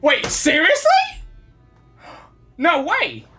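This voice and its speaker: A woman giving casual live gaming reactions, excitable and repeating herself as she thinks aloud. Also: very high-pitched, very slow, faint music behind.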